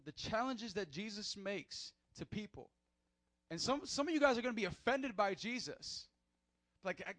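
A man preaching a sermon as pastor in a church, animated, acting out short skits in other voices. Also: high voice, no echo.

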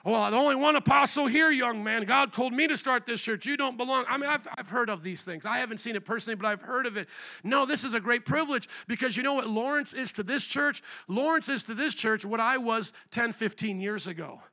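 A middle-aged man from Indiana preaching a sermon as pastor, animated, rising to near shouts on rhetorical questions. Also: extremely high pitch, fairly quick. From Indiana, USA.

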